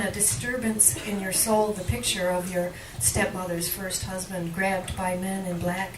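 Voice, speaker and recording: A woman giving a live poetry reading in measured, deliberate phrases with emphatic line breaks, recorded indoors at a cafe. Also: medium pitch. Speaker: poet, featured reader at a poetry reading. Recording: roomy, distant live recording with faint noise.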